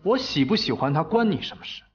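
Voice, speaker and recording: A man's voice: a little high, big pitch swings, fast, breathy edge, lo-fi sound, faint music behind, full character voice. A man in his twenties playing an aloof, suspicious young company boss in a TV drama, terse and challenging. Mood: cool, annoyed. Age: 20s. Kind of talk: naturalistic TV drama acting.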